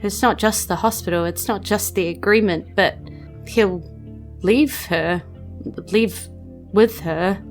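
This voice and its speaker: A woman plays an anxious farmer caught in a bad bargain, speaking with a New Zealand accent. The delivery is halting and full of stammers, as in an improvised tabletop game. Faint music runs underneath. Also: medium pitch. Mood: troubled, hesitant. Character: anxious, troubled farmer trapped by a secret agreement. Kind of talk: improvised tabletop role-play.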